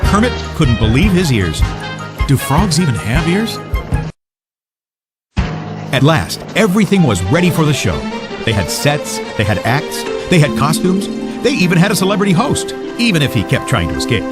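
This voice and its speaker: Male narrator for a children's read-along: lively, swinging intonation, fairly high voice, prominent music bed. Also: moderate pace. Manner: animated, expressive storytelling. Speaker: storybook narrator. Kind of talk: scripted children's story narration.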